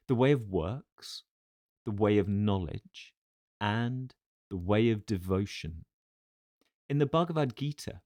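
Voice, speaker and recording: A man with a fairly low voice narrates a scholarly text. His delivery is formal and deliberate, with measured phrasing, and the sound is dry and close. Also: darkish tone, no room sound. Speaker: audiobook narrator. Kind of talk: scripted narration of philosophical text.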